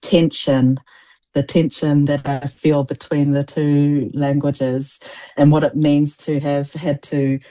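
A woman's voice: sits low, level tone, slow pace, mellow, low-quality recording. A woman in her forties, born in Samoa with Samoan as her first language, answering interview questions thoughtfully with many restarts. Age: 40s. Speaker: poet, guest.

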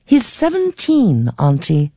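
A woman's voice: on the low side, huge pitch swings, slow pace, warm tone, breathy edge, dry recording, studio quality, pitched above the performer's own voice, heavily acted. A man voicing a cheerful, patient woman in her fifties, speaking politely in a storybook reading. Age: 50s. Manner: polite, coaxing. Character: patient, cheerful middle-aged niece.

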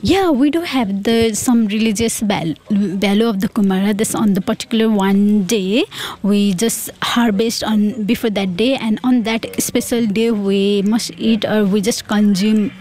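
A woman's voice: medium pitch, a little slow, a little mellow. A young woman from Nepal with a Nepali accent, a student giving casual interview answers. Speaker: student researcher being interviewed. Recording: background crowd chatter.

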